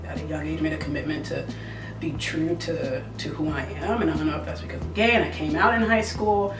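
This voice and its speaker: Low-voiced thirties woman from phoenix, slow n reflective, echoey. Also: steady tone. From Phoenix, Arizona. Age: 30s. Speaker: interviewee. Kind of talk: documentary interview. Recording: roomy, distant mic with music bed.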